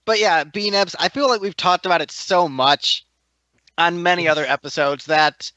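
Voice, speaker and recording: A man on a podcast panel in casual chat, joking and pausing often with ums. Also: high-pitched, sharp tone, dry recording. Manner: jokey, hesitant with frequent ums.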